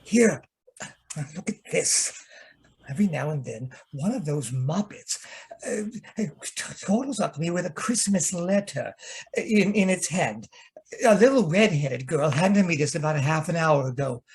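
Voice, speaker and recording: A man playing a fussy, nervous gentleman sidekick in an old-time radio mystery, stammering and theatrical, with a husky voice. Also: a little high, animated, unhurried, full character voice. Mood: rattled.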